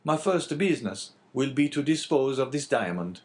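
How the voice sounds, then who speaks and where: Man playing a formal, probing priest, raised pitch. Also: very animated, darkish tone, natural voice. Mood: feigned indifference. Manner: formal, measured, courteous. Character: polite, probing, composed male priest.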